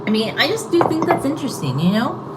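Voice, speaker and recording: A woman's voice: fairly high pitch, big pitch swings, quick pace. A woman chatting casually to viewers in a rambling, hesitant, apologetic way. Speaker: live-streamer chatting with viewers. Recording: echoey room, distant mic, loud background noise.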